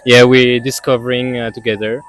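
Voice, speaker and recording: Guy from reunion island, lots of uhs, mid pitch, just chatting on the street. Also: little pitch movement, a little fast, fairly bright tone, slight background noise, rough recording. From Reunion Island, France. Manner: hesitant, many uh fillers. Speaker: tourist interviewee. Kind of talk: casual street interview.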